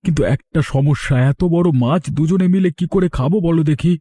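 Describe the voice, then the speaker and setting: A man's voice: fairly high pitch, a little fast, mellow, husky. A man voicing an easygoing, good-natured village man in a cartoon, with a casual, agreeable, questioning manner. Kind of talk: Bengali cartoon voice acting.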